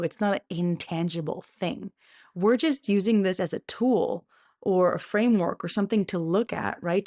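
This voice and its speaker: A woman's voice: medium pitch, darkish tone, no room sound, clean studio sound. A woman hosting a motivational podcast, talking earnestly and encouragingly, often checking in with "right?" and restarting phrases as she thinks. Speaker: podcast host.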